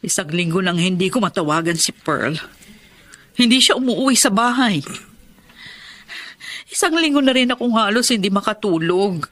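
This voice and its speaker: A woman's voice: medium pitch, lively intonation, normal speed, pauses often, fairly bright tone, close to an everyday voice. An actress playing a heartbroken middle-aged woman in a radio drama, speaking in an emotional, pleading way. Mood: distraught. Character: heartbroken woman Nene, former mistress. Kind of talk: Tagalog radio drama acting.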